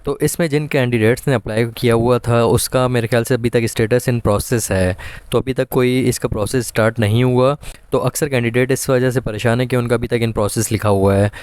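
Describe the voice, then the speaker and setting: A man's voice: medium pitch, steady tone, fairly quick, darkish tone, clean tone, dry recording. A man with a Pakistani accent explains things methodically and informally, as a presenter giving guidance to candidates. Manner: instructional, methodical point-by-point explaining.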